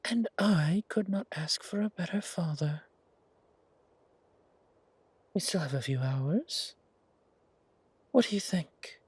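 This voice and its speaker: Man voicing a breathy, higher-pitched young predator son. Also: animated, a little slow, dry recording, heavily acted. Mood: affectionate. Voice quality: breathy, often half-whispered. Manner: lighter, teasing, polite. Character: young male predatory creature, vampire's devoted teasing son. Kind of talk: horror roleplay audio drama.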